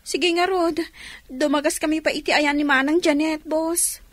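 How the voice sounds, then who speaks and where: A woman's voice: sits high, steady tone, moderate pace, no echo, lightly acted. A woman playing an anxious, respectful young employee in a radio drama, urgent and pleading, with an Ilocano accent. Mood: grateful, worried.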